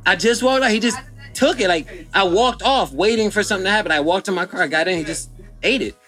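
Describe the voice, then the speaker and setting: A man's voice: sits high, a little fast, sharp tone, faint music behind. A young man from Philadelphia, a guest in a casual chat, telling a story in a laid-back, slangy way.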